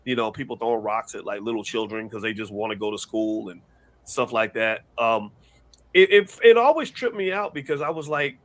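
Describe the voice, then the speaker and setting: A man hosting a news commentary show. He talks in an animated, rambling way and often repeats words. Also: on the low side, normal speed, no echo. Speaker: host.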